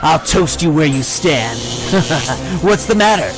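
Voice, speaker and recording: An actor playing a boastful, taunting supervillain with electric powers in an animated superhero comic. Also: male voice, on the high side, slow pace, over music, poor audio.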